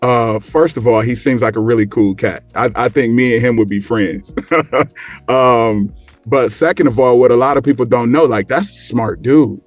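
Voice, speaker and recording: A man chatting casually in a group, informal and often halting as he searches for his words. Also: mid-range voice, no echo. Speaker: show guest. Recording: background music under the voice.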